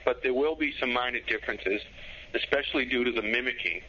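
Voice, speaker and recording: A man's voice: mid-range voice, fast talker, slightly rough, highs cut off. A man appearing as a guest on a talk show, speaking in an easygoing, loosely phrased way. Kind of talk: casual chat, remote guest.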